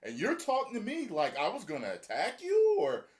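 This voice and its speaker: A man hosting a casual reaction chat, talking in an animated way and acting out other people's lines. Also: moderate pace. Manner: animated, acts out quotes with shifting pitch. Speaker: show host.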